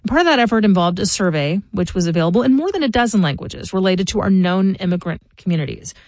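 A middle-aged woman hosts a local news podcast and reads scripted commentary in a measured, informative way. Her voice sits in a middle range. The recording is close and dry, with no room sound. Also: mid-range voice, animated, a little mellow, no room sound. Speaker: podcast host.

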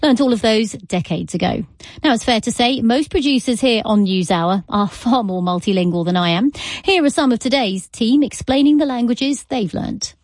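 A woman's voice: a little high, moderate pace, darkish tone, dry recording, clean studio sound. A woman presenting a radio news programme, reading scripted links and putting questions to guests.

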